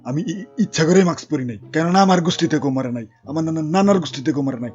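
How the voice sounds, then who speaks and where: A man's voice: a little high, rapid-fire, darkish tone, lo-fi sound, natural voice. A man voicing a stammering, naive young man with a Bangladeshi accent in a comic cartoon. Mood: defensive. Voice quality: stutter.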